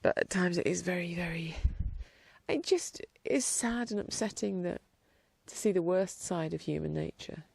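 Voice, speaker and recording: A woman host chatting casually outdoors in her garden, rambling along with a blocked-up nose and dropping quieter at times. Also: fairly low pitch, normal speed, gravelly, husky. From England. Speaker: podcast host. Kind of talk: casual chat.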